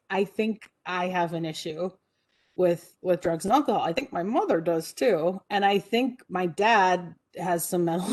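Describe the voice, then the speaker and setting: Earnest woman telling her story, mellow. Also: lowish voice. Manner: earnest, reflective, with frequent fillers and restarts. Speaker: guest speaker. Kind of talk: personal storytelling talk.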